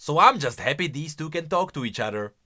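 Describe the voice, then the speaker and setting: A man's voice: a little high, animated, a little fast, pushed and bright. A man hosting a video of casual, explanatory game lore commentary in an emphatic, conversational way. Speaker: video host.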